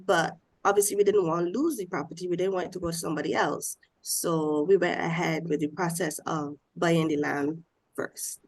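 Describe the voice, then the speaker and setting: A woman's voice: fairly low pitch, fairly even intonation, normal speed. A woman from Barbados on a discussion panel, explaining step by step in a nasal voice because she has a cold.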